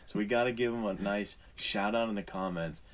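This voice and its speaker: A man's voice: fairly low pitch, brisk. A man co-hosting a podcast in casual, informal chat, speaking in an earnest, encouraging way. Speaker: podcast co-host.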